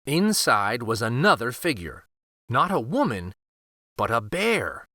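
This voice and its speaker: A man reading a children's fairytale aloud in a deliberate, dramatic narrating style. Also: medium pitch, big pitch swings, pushed and bright, dry recording, clean studio sound. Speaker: children's story reader. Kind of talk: expressive storybook read-aloud narration.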